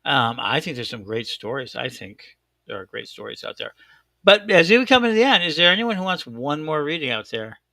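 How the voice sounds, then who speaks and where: A man hosting a casual show in a thick Chicago accent, rambling excitedly and often doubling back on himself. His voice is bright and gravelly. The sound is close and dry. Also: fairly high pitch, fairly quick, dry recording. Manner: excitable, rambling, self-correcting. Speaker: host. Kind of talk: casual live-stream chat.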